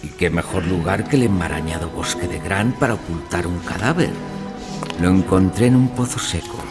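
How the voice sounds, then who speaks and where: Self-assured gentleman sleuth played by a male actor, radio drama, lowish expressive voice, formal storytelling over music. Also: moderate pace, lightly acted. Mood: explaining. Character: clever, self-assured gentleman witness explaining his scheme. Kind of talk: audio drama acting, narrating.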